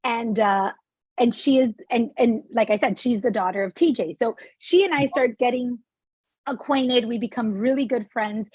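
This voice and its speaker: A woman in her forties hosting an interview, telling stories in an animated way over a video call. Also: a little high, husky, dry recording. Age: 40s. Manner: animated, storytelling. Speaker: podcast host. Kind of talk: conversational interview.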